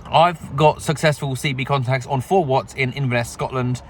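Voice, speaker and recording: A man's voice: medium pitch, fairly even intonation, moderate pace, a little hiss or hum. A man presenting a casual amateur radio vlog, recorded on a phone in and around a vehicle. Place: outdoors and in a vehicle. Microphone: phone camera.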